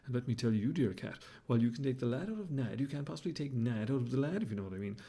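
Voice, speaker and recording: A man's voice: medium pitch, animated, racing, warm tone, slightly rough. A man voicing a flamboyant, fashion-mad male baboon who talks in pompous, theatrical rhymes. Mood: rapid rhyming. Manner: pompous, sing-song rhyming, fussy and dramatic. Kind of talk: storybook character voice.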